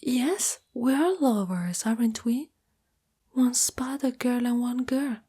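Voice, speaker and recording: A woman from Italy voicing a shy, loving spider girl in a soft, hesitant whisper for an intimate ASMR roleplay. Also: on the high side, slow pace, slightly rough. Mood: gentle, intimate.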